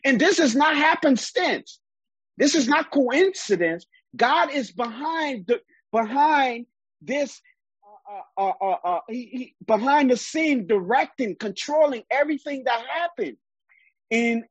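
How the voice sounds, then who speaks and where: A man's voice: very high-pitched, expressive, unhurried, breathy edge, no echo. A man from Liberia preaching a sermon, emphatic and often repeating words while he gathers his thoughts.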